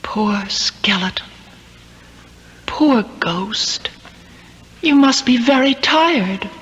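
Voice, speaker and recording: A woman's voice: fairly high pitch, expressive, drawn-out words, a bit bright, a little hiss or hum, heavily acted. A woman playing a sweet, compassionate, earnest young woman in a 1970s radio drama, with a theatrical delivery. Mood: hushed pity. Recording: dull 1970s radio broadcast with music.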